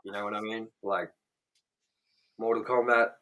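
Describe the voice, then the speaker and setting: A man's voice: on the low side, little pitch movement, normal speed, sharp tone. Rambling man, casual podcast host. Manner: rambling, filler-heavy, frequent "like" and "you know what I mean". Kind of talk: casual chat, swearing freely.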